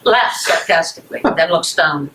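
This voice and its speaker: A woman's voice: low-pitched, animated, moderate pace, a bit bright, rough recording. A woman reading stage directions as scripted narration at a live reading in a room. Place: indoor room at a public library.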